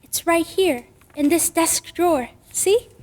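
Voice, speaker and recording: A woman's voice: very high voice, slow pace, darkish tone, slight background noise. A woman playing a serious, precocious young schoolgirl in old-time radio drama style, with prim, matter-of-fact phrasing, in a reverberant space. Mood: earnest.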